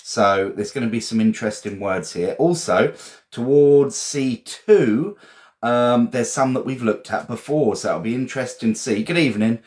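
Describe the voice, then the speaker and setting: A man's voice: medium pitch, moderate pace, a little mellow. A man from England with an English accent, teaching a casual live vocabulary lesson in a chatty, encouraging, informal way and often repeating phrases.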